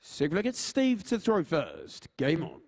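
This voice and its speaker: Man calling darts scores, high and lively. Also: vocal fry, no room sound. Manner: loud drawn-out score calls. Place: darts arena stage.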